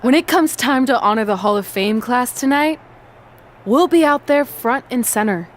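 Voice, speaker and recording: A woman's voice: on the high side, animated, moderate pace, lightly acted. A woman playing a determined, loyal pro wrestler in a video game cutscene. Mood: resolute.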